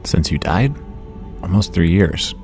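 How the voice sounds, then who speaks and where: Low, measured superhero scientist. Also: male voice, expressive, a little mellow, soft background music. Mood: probing. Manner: reassuring, measured. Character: calm, measured male superhero scientist tending a patient. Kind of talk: audio drama acting.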